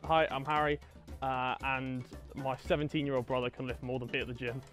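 A British man in his twenties giving short, deadpan introductions of himself. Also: mid-range voice, brisk, soft background music. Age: 20s.

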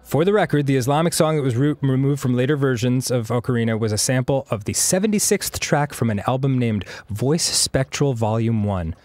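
A man's voice: medium pitch, fairly quick, few pauses, a little mellow, dry recording. A man in his thirties, first language Hebrew, chatting casually as a co-host over gameplay, rambling with many fillers. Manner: rambling, frequent fillers and laughs.

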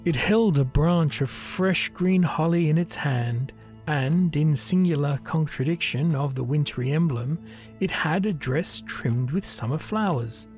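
Male narrator, slow pace, medium pitch, measured literary storytelling, music behind. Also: darkish tone. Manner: measured, deliberate.

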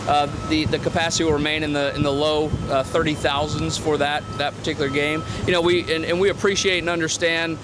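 A middle-aged man answering press questions outdoors, halting with many repeated words and fillers. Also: fairly high pitch, flat delivery. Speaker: interim athletic director. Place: outdoors at a stadium construction site. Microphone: podium microphones on a stand. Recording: outdoor traffic noise behind.

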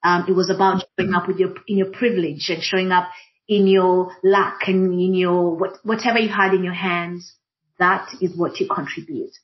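A woman from Kenya, a diversity and inclusion practitioner speaking thoughtfully on a panel, pausing often with fillers. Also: on the low side, flat delivery. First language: Swahili. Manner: thoughtful, many fillers and restarts. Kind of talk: reflective panel conversation. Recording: dull lo-fi audio.

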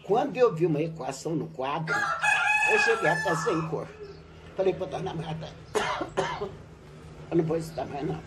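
An older woman, a retired teacher, recounting her school days in a relaxed interview on a home patio. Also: low-pitched, normal speed, pauses often, very noisy. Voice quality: lively, animated storytelling with laughs. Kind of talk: reminiscing interview. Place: covered outdoor patio at a home.

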